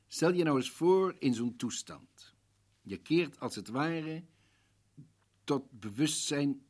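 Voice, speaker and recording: A man playing an earnest, persistent professor in a Dutch radio drama, questioning in a deliberate, rhetorical way with a husky voice. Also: mid-range voice, full character voice. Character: probing, compassionate male professor, earnest and persistent. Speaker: actor in a radio play.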